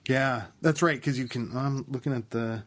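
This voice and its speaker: Guy cohosting a gaming podcast, middle pitch, keeps trailing off and restarting. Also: fairly even intonation, moderate pace, a bit bright. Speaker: podcast co-host. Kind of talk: casual chat.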